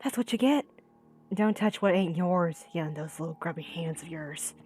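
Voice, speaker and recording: A woman voicing a cold-blooded, menacing killer from a visual novel, with a slow, threatening drawl. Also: fairly low pitch, animated, a little mellow, a little hiss or hum, close to an everyday voice. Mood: cold menace.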